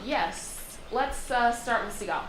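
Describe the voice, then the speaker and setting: A woman speaker closing her talk and taking audience questions in a relaxed, brief way. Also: fairly high pitch, slow. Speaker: seminar speaker. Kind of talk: academic talk and Q&A. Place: seminar room. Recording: echoey room with noise.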